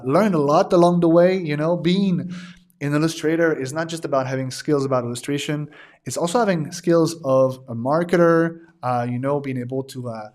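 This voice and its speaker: A man in his thirties speaks in a slightly raised voice. He chats casually to viewers as a digital art teacher, stopping often to say uh and restart. His voice is wearing thin and hoarse. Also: on the high side, darkish tone. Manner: hesitant, many "uh" fillers and restarts. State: losing his voice. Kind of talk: casual livestream talk to viewers.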